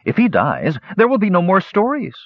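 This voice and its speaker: A man voicing a small, boastful, childish male kender who talks in an excitable, squabbling way. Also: high voice, normal speed, no echo, voice pushed up, exaggerated voice. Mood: excited. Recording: dull lo-fi recording.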